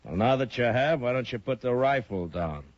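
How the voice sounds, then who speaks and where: An actor playing a steady frontier marshal in a 1950s radio western, measured, dry and authoritative. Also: male voice, medium pitch, natural voice. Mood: stern. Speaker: lead character. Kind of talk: 1950s radio drama acting. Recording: muffled old radio broadcast.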